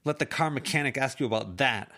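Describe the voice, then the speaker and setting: A man's voice: medium pitch, fast talker, bright, forceful tone, pitched above the performer's own voice. A man reading an amateur audiobook, voicing a furious teenage girl who hurls bitter, cutting insults. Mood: screaming rage.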